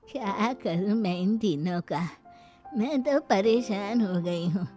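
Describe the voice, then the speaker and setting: Woman acting a slow, fretful cartoon mother-in-law. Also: mid-range voice, over music, lightly acted. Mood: exasperated. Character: exasperated older mother-in-law. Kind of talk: cartoon story character acting.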